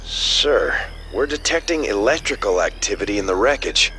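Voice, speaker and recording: An actor playing a dutiful second-in-command officer in a video game, formal and measured as if giving a briefing. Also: male voice, fairly low pitch, big pitch swings, sharp tone, a little hiss or hum, poor audio. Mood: businesslike.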